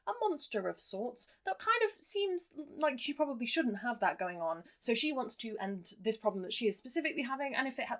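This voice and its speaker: Chatty woman reviewing in a wry way. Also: on the high side. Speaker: book reviewer. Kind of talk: casual scripted-free book review to camera.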